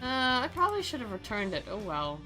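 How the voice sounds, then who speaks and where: Sarcastic, forceful woman reading a game aloud. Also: a little high, very animated, a little fast, poor audio. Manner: theatrical, playful, sarcastic. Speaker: game commentator and dramatic reader. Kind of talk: sarcastic visual novel read-aloud with commentary. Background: music.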